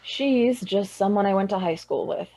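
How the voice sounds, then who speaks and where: A woman's voice: medium pitch, normal speed, dark tone, natural voice. A young actress playing a tipsy, dry-witted woman from a small town in a radio drama. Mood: wry, tipsy.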